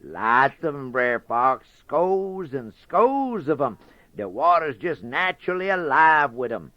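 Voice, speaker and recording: A man's voice: on the high side, very animated, takes it slowly, bright, forceful tone, dry recording, pitched above the performer's own voice, full character voice. A man voicing a sly, boastful male trickster rabbit in folksy storybook dialect. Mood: sly, chummy. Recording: dull dry lo-fi recording.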